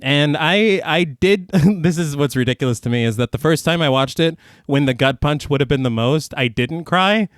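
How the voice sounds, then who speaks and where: A man co-hosting a horror podcast in casual film talk, getting over the flu, relaxed and often swearing and laughing. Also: on the high side, normal speed, few pauses, clear, resonant tone, no echo, studio quality. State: recovering from flu. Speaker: podcast co-host.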